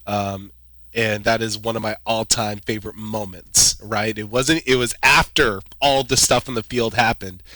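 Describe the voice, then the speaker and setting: A man's voice: on the low side, normal speed, no echo, low-quality recording. A young man, a podcast guest, telling personal stories in a vivid play-by-play way. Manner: vivid, excited play-by-play retelling with laughs. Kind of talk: animated personal storytelling.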